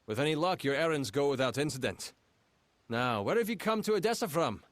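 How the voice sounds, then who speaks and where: An actor playing an officious, self-important gate registrar in a video game, speaking in a formal, fussy manner. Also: male voice, a little high, animated, brisk, natural voice. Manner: formal, fussy, stammers when flustered.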